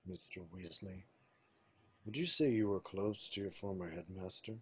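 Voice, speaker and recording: A man's voice: deep voice, moderate pace, close to an everyday voice. A man playing a stern, probing older government minister of magic at a table read on a video call. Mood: coolly probing. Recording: faintly noisy video call.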